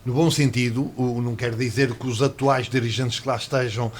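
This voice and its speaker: A man's voice: mid-range voice, fairly even intonation, fairly quick, slight background noise. Man, mid voice, insistent pundit who repeats himself. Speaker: panel commentator. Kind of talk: radio sports panel chat.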